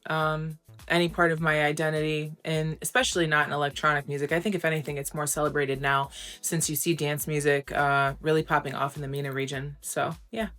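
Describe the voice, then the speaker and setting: A young woman with a low, grounded voice talks openly and casually, filling pauses with "um" and "like". Faint music plays quietly under her words. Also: little pitch movement. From United States. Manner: candid, chatty, frequent "um" and "like". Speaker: interview guest, recording artist. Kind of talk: casual interview answers.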